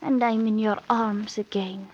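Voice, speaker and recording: An actress playing a passionate, fickle young bride in a theatrical radio melodrama. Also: female voice, mid-range voice, fairly even intonation, unhurried, slightly rough. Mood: yearning, disdainful. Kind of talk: old-time radio melodrama acting. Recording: muffled old radio recording.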